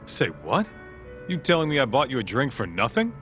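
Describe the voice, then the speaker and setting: A man's voice: mid-range voice, huge pitch swings, normal speed, a bit bright, soft background music. An actor playing a blunt, brash tough-guy sidekick with slangy delivery in a video game scene. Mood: irritated. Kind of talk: video game cutscene acting.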